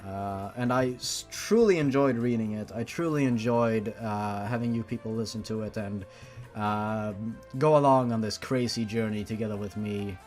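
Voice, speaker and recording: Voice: mid-range voice, normal speed, darkish tone. Casual comedic host, mid-range voice, exasperated, melodramatic, free with swearing, faint music behind. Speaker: host of a fan fiction reading series. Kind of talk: casual comedic reading and reaction commentary.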